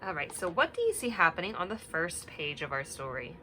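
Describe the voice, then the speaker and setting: A woman teacher talking gently to young children, singing little hand-washing songs and reading a picture book aloud with many questions. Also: on the low side, big pitch swings, a little fast, a little hiss or hum. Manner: gentle, sing-song, asks many questions.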